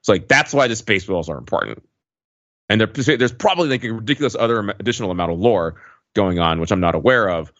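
A man with a low voice talks as a podcast co-host, picking through his thoughts with plenty of filler words. The sound is dry and slightly dull, and his style is casual and analytical. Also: animated, fast talker, fairly bright tone, no room sound. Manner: hedging, filler-heavy phrasing with frequent "like" and "right". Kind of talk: casual analytical pop culture discussion.

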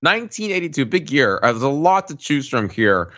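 A man's voice: mid-range voice, big pitch swings, fairly quick, fairly bright tone, muffled recording. A man in his forties in casual conversation as a podcast co-host. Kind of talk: casual chat.

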